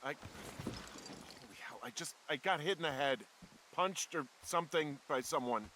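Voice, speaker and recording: A man playing a wary, sardonic fire lookout in a naturalistic video game style, halting and gruffly irritable. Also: fairly high pitch, background noise, lightly acted. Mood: shaken, hurt. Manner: dry, sarcastic, halting, swears freely.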